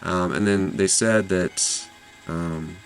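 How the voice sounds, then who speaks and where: A man's voice: deep voice, steady tone, takes it slowly, vocal fry, soft background music. A man hosting an investing channel, casually summarizing an earnings call with lots of fillers and self-corrections.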